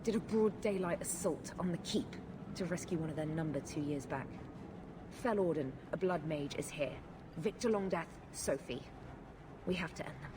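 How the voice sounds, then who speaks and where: A woman's voice: low-pitched, moderate pace, noisy background, natural voice. A woman playing an embattled, dutiful guard lieutenant with a blunt soldierly manner in a video game. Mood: determined. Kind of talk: video game cutscene acting.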